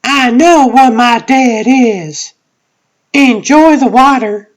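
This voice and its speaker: A woman's voice: a little high, takes it slowly. A woman playing a menacing, short-tempered tough character in a comic drama, speaking in a threatening manner. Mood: irritated, menacing. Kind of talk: scripted comic dub.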